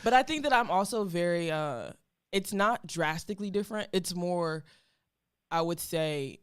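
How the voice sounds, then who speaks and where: Deep-voiced woman on a video call. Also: normal speed, clean tone, dry recording, clean studio sound. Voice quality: deep, commanding voice. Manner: laughs often, easygoing. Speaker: guest, TV news reporter. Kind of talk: casual chat.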